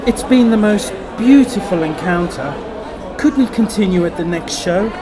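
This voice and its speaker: A man's voice: sits high, expressive, moderate pace. A man playing an ardent, wistful lover in an acted short-story reading, effusive and lingering. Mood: pleading, wistful. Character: ardent, wistful male lover of a married actress. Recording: crowd noise in public room.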